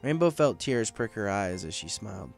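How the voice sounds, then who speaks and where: A man's voice: fairly low pitch, sing-song, normal speed, gravelly. A man reading a story aloud as scripted narration. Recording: faint music under the voice.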